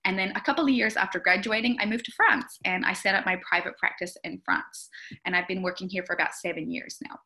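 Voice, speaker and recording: A woman's voice: mid-range voice, steady tone, quick pace, bright, forceful tone, low-quality recording. A young New woman, a speech therapist, giving a chatty webinar presentation over a video call. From New Zealand. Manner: chatty, explanatory, with frequent fillers. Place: indoor room with plants behind. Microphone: video call webcam.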